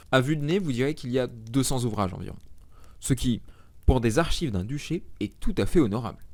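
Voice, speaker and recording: Guy narrating a dark fantasy audio story, middle pitch, steady. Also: dry recording. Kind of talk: second-person scripted narration.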